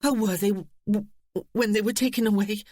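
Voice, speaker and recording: A narrator voicing a distraught young gentlewoman whose voice shakes with pleading. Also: steady tone, fairly quick, dry recording, clean studio sound, lightly acted. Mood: shaken. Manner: shaking, pleading, high-strung.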